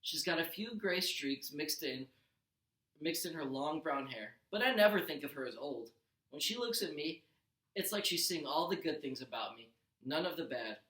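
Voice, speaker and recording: A man's voice: a little high, expressive, normal speed, bright, forceful tone. A man, a teacher, narrating a first-person storybook aloud with expression. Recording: roomy echo.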